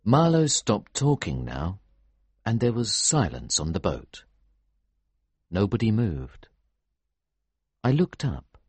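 A man acting a quiet, watchful storyteller for an audiobook. His voice is very low and husky, moving slowly but with expressive rises and falls. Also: dry recording, exaggerated voice. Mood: hushed, sombre. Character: quiet, observant male listener narrating aboard a boat. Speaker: narrator. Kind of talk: scripted audiobook narration.

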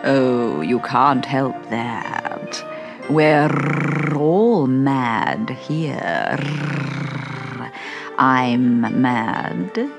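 A woman's voice: rumbling low, lively intonation, extremely slow, long pauses, over music, full character voice. A woman voicing a sly, mad male cat in a storybook, drawling languidly and knowingly.

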